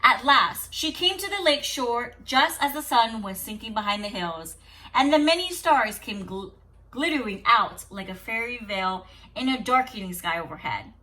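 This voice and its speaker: A woman teacher reading a story aloud to young children in an expressive, deliberate, instructive way with dramatic pauses. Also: a little high, poor audio. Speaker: kindergarten teacher.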